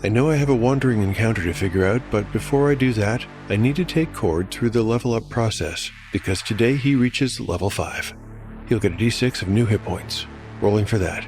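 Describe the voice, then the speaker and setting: Man narrating fantasy tale over clear music. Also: lowish voice, expressive, brisk, a little mellow. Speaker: solo game master and narrator. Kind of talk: scripted fantasy audiodrama narration and game commentary.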